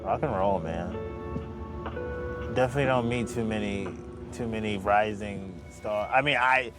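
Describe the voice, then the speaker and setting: A man's voice: fairly low pitch, moderate pace, music in the background. A man hosting a podcast interview, laid-back and agreeable, using casual slang. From Albany, New York. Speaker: podcast host.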